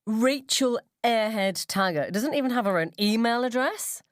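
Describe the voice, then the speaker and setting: A woman's voice: on the high side, animated, moderate pace, dry recording, studio quality, voice pushed up, lightly acted. A woman playing a scornful, gossipy mother at the school gates in a radio story, with an emphatic, mocking drawl. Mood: sneering.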